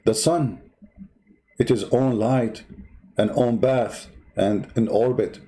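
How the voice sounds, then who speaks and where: A man's voice: mid-range voice, steady tone, slow pace, dark tone, rough recording. A man hosting a polemical commentary, mocking and insulting in an emphatic way, who sometimes hums to himself. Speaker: debate host. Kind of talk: polemical commentary over a video.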